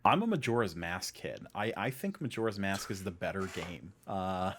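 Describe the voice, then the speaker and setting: A man's voice: low-pitched, lively intonation, moderate pace, a bit bright, slight background noise. A man hosting a casual podcast, talking in an informal, opinionated way. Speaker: podcast host.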